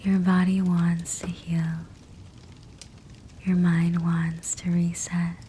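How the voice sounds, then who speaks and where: A woman guiding a sleep meditation in a soft, breathy whisper, gentle and soothing, with measured phrasing and long pauses. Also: lowish voice. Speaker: sleep hypnosis meditation guide. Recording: steady fireplace crackle behind voice. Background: fireplace sounds.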